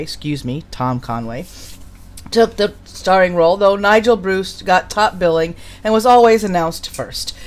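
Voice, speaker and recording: A woman hosting a live stream, chatting casually and introducing material in an informal, jokey way. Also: mid-range voice. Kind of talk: casual live-stream chat and reading show history.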